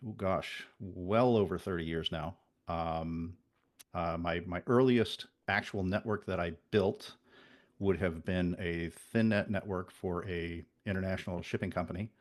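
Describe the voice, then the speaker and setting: Man, 50s, from the Netherlands, very low voice, rambling restarts, podcast guest chat. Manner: rambling, many restarts and self-deprecating asides. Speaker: podcast guest, network engineer. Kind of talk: casual interview chat.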